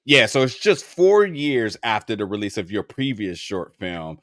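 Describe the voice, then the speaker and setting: A man's voice: on the low side, very animated, normal speed, a bit bright, no room sound, studio quality. A man interviewing a filmmaker, asking long rambling questions full of stumbling repeats.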